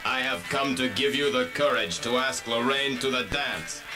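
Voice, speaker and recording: A solemn alien visitor, really a man acting a disguised teenager, gives portentous commands. The voice sits at a middling pitch over clear music, in storybook drama style. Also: normal speed, close to an everyday voice. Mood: commanding.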